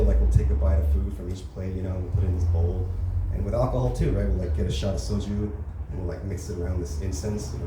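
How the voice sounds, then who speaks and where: Young male comic, quick crude club set, lowish voice, echoey distant room with noise. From Los Angeles area. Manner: casual, crude, lots of filler tags like "you know" and "right". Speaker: stand-up comedian. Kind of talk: open mic stand-up set. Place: comedy club stage, heard from far off.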